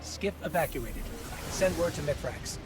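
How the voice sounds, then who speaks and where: An actor playing a resolute soldier's companion in a video game, reporting in a clipped, matter-of-fact way. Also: male voice, a little high, animated, a little slow, music in the background. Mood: brisk, determined.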